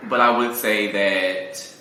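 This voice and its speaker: Man, lowish bright voice, casual animated storyteller as interview guest, recorded in a very reverberant room. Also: extremely slow. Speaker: guest, singer-songwriter. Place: echoey room. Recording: very echoey room, faint noise.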